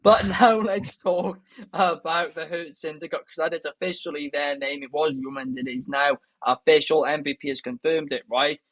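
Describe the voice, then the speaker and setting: A man's voice: a little high, steady tone, a bit bright, dry recording, rough recording. Chatty Welsh man, dry close sound. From Wales. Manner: chatty, enthusiastic, quick asides to viewers. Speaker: podcast host. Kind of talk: casual news chat.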